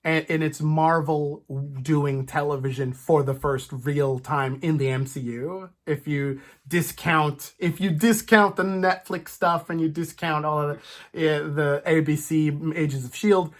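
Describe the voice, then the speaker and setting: A man co-hosting a fan discussion in a casual, animated way, often slipping into imagined quotes as he talks. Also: a little high, a little slow, hardly any pauses. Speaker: co-host of a fan discussion show.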